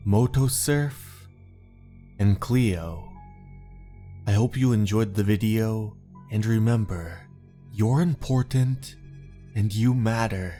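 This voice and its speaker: A man narrating a scripted horror story in a measured, suspenseful, dramatic manner. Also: on the low side, warm tone.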